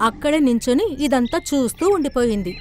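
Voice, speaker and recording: A woman's voice: high voice, fairly quick, darkish tone. A woman narrator reading a scripted children's story. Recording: prominent music behind the voice.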